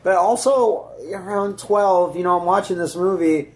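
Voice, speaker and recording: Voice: mid-range voice, moderate pace, a little mellow, rough recording. A podcast co-host in casual group chat, tossing in jokes and quips. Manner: jokey, chimes in with quips.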